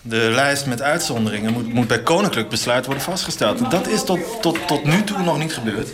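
An actor playing an earnest, easily indignant institute director in a satirical radio interview, hesitant and pedantic. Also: male voice, medium pitch, lively intonation, fairly quick, close to an everyday voice. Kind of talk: mock radio interview, satirical audio drama. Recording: busy call centre chatter behind. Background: crowd of voices in a call centre.

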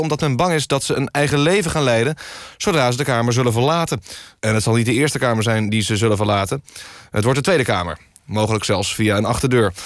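An actor playing a dry, deadpan male presenter of a satirical radio news magazine. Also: medium pitch, dry recording, natural voice.

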